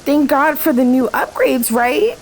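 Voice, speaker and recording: A woman's voice: high voice, lively intonation, unhurried, a little hiss or hum, rough recording. A woman playing a harried, sarcastic night-shift waitress in a radio play, curt but placating with the boss. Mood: nervously placating.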